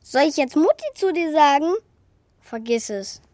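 A little wooden puppet boy in a children's radio play. The voice is high and childlike, cheeky and impulsive, recorded close and dry. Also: lively intonation, a little slow, darkish tone, dry recording. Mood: cheeky, offended. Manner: childlike, impulsive, whiny when impatient.

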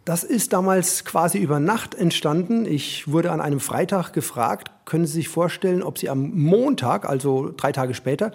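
A man's voice: fairly high pitch, animated, normal speed, creaky, hardly any pauses, darkish tone, husky. A middle-aged man, a music and German teacher, explaining things in spoken, unscripted style.

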